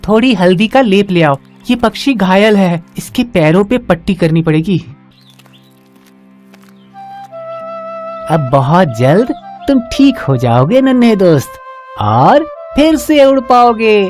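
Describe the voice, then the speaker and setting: A kind young village farmer, acted by a woman, speaks slowly and earnestly. He is humble, tender and respectful, a cartoon storybook hero with light music behind him. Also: mid-range voice, sing-song, darkish tone. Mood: concerned.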